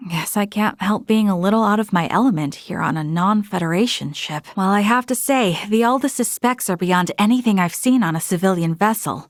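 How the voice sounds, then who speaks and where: A woman's voice: mid-range voice, no echo, clean studio sound, natural voice. A woman playing an earnest young female military officer in a space video game, speaking formally and articulately with resolve. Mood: composed. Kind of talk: scripted video game character dialogue.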